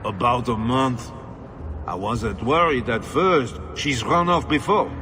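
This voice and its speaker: A man's voice: medium pitch, slow pace, over music, natural voice. A man playing a bitter, self-pitying nobleman husband in a video game, defensive and world-weary, sighing as he talks. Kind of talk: dramatic video game dialogue.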